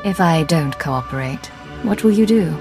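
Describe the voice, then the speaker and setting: Low, cool secret agent. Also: female voice, animated, normal speed, over music. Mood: defiant. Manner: controlled, terse. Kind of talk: dramatic video game voice acting.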